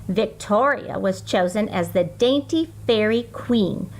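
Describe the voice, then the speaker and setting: A woman reading a children's story aloud in an expressive, deliberate, sing-song way. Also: fairly high pitch, low-quality recording.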